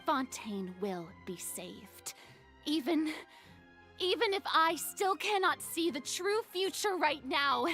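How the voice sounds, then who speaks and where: A woman's voice: high-pitched, pushed and bright, heavily acted. An actress playing a proud, theatrical young female god in a video game, pompous and defensive, haughty yet insecure underneath. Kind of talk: dramatic video game voice acting. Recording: faint background music.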